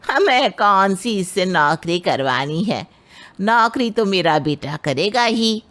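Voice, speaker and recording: A woman's voice: mid-range voice, lively intonation, takes it slowly, fairly bright tone. A woman voicing a traditional, demanding middle-aged mother-in-law in a dramatized moral story. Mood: probing, demanding.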